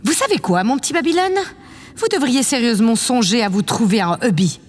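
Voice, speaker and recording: A vain, grand lady president played by a woman in a sci-fi audio drama, speaking in a high voice. She is imperious and self-satisfied, condescending yet fond. Her voice rings through a long reverb with background noise. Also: lively intonation, a little fast, natural voice. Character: vain, imperious but affectionate female president of a space colony. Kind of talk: scripted audio drama acting.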